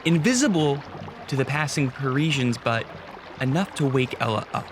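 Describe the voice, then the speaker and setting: A man narrating a scripted radio drama in a measured, theatrical storytelling manner. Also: medium pitch, expressive, noisy background. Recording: clear music behind.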